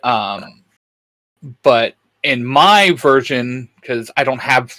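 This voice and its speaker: A man co-hosting a casual geeky chat, talking in a halting way as he thinks aloud. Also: mid-range voice, lively intonation, bright, forceful tone, no room sound. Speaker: co-host.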